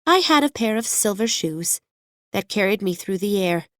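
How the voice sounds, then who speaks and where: A woman's voice: medium pitch, lively intonation, normal speed, dry recording, clean studio sound, natural voice. A woman voicing a plucky, chatty little girl with an earnest, folksy manner in an audiobook. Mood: chatty, sure. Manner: earnest, confident, folksy childlike speech. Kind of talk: audiobook character voice.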